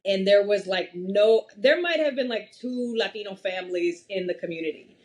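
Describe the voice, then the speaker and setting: A young woman from Massachusetts, a podcast guest, talking at length in an animated, storytelling way. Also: medium pitch, moderate pace, low-quality recording. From Massachusetts, USA. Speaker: podcast guest, wealth strategist. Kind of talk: casual interview chat.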